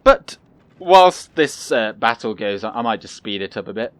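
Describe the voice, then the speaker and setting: A young man giving calm, casual commentary over his own gameplay, soft-spoken and pausing often with fillers. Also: fairly low pitch, no echo. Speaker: gaming channel host.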